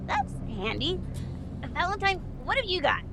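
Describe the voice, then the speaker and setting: A woman playing a brash, hurried tech pixie in an audio drama, with a snappy, wisecracking delivery. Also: extremely high pitch, very animated, noisy background, full character voice. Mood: brisk, wry.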